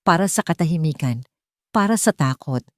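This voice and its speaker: A Filipino woman playing a gentle, grateful wife and mother in a narrated story, soft and heartfelt. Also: fairly low pitch, lively intonation, normal speed, no room sound, studio quality, lightly acted. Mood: grateful, moved.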